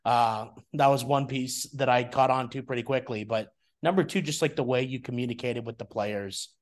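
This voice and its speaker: A man's voice: medium pitch, normal speed. Young male podcast host, casual and rambling, easygoing interviewer. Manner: friendly, rambling, many fillers and restarts.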